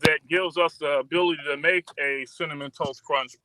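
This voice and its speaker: Very bright-voiced man, animated and chatty. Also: a little high, moderate pace, poor audio. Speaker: guest, popcorn shop owner. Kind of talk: casual interview chat.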